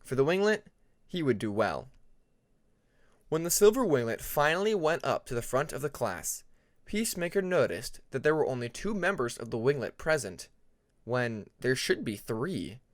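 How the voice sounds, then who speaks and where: A man narrating a scripted fantasy story as an audiobook reader, with deliberate, dramatic phrasing. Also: medium pitch, big pitch swings, no echo, clean studio sound. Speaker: audiobook narrator of fan fiction.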